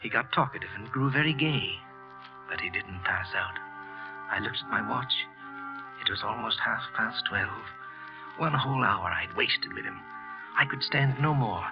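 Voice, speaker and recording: An actor playing a nervous office employee confessing to murder, narrating in an old-time radio drama style, hushed and brooding, then agitated. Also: male voice, fairly low pitch, expressive, normal speed. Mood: cold, brooding. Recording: muffled old radio recording, music behind.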